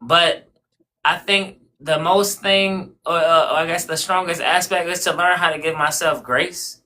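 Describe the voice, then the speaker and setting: A man's voice: a little high, fairly even intonation, unhurried, bright, forceful tone, slight background noise. A man in his thirties, a barber guest on a podcast, talking casually and reflectively with lots of "you know what I mean.". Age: 30s. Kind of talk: casual interview chat.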